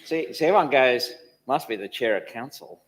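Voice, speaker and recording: A man's voice: medium pitch, lively intonation, moderate pace, a little hiss or hum, poor audio. A man in his fifties with a mixed, mostly Australian accent, the chair of a church council, answering questions with rambling dry humour. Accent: mixed, Australian with traces of places lived. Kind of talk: interview answers.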